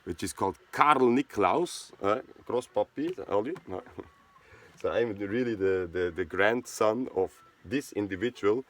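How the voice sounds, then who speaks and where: Low-voiced man talking haltingly. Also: fairly bright tone. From Switzerland. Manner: halting, searching for words, many fillers and restarts. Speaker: host of a charity video channel. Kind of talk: casual spoken monologue.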